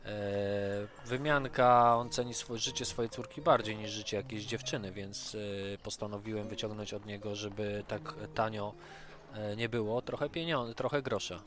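A man's voice: fairly low pitch, fairly even intonation, moderate pace. A man taking part in a tabletop role-playing game, thinking aloud hesitantly as he describes what his character says and does.

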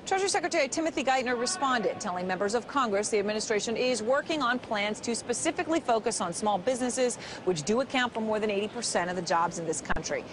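A woman in her thirties anchoring live business news in a TV studio, asking brisk, poised questions. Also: fairly high pitch, expressive, noisy background.

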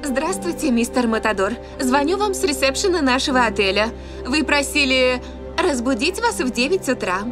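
A courteous hotel receptionist. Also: female voice, sits high, expressive, normal speed. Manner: formal, courteous. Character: polite young female hotel receptionist. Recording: cartoon dub with loud music behind.

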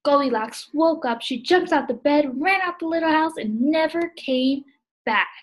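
A young adult woman with a slightly husky voice, reading a storybook aloud to young children as a preschool teacher, recorded on a laptop at home. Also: sits high, moderate pace. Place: small indoor room at home. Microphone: laptop video call.

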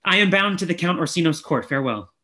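A tender-hearted young gentleman played by a man speaks stage verse. The voice is a little high. It is heard over a video call. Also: animated, fast. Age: 20s. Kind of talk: Shakespearean play reading.

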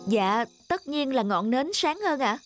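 A woman's voice: sits high, sing-song, unhurried, over music. A woman voicing a troubled married businessman in a cartoon, earnest and hesitant. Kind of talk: scripted cartoon dialogue.

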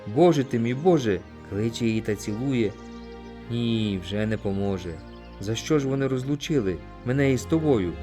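A man narrating an audiobook, reciting poetry in an expressive, theatrical way. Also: mid-range voice, moderate pace. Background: music.

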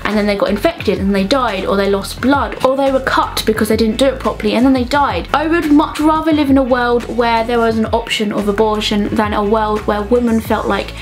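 A young adult woman chatting casually and frankly to camera, animated and jokey as she answers questions. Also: fairly high pitch, brisk, hardly any pauses, faint music behind. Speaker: vlogger answering viewer questions. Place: small bedroom, indoors.

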